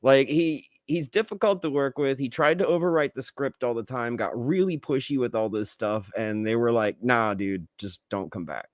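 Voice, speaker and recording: A man's voice: medium pitch, moderate pace, hardly any pauses, dry recording, clean studio sound. A man co-hosting a podcast, chatting casually about movies in opinionated, sweary slang. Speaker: podcast co-host. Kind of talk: casual movie review chat.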